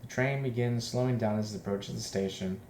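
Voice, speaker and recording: A man reading a visual novel aloud as a let's player, breaking in with loud, repetitive joking reactions. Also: fairly low pitch, fairly quick. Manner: exclaims loudly and repeats himself in reaction. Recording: roomy echo, faint background noise.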